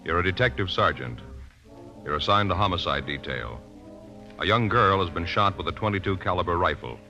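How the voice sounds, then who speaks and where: A man's voice: low-pitched, lively intonation, a little fast, sharp tone, lo-fi sound. A man reading terse, grave scripted narration in a 1950s radio announcer style. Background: music.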